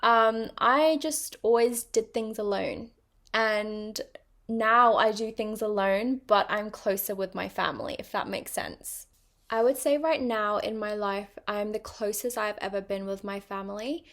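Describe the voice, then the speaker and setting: A woman's voice: medium pitch, unhurried, fairly bright tone. A young woman with a partly British accent, chatting casually on her own podcast with plenty of ums and likes. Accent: mixed British and international. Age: young adult. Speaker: podcast host. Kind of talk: casual personal monologue.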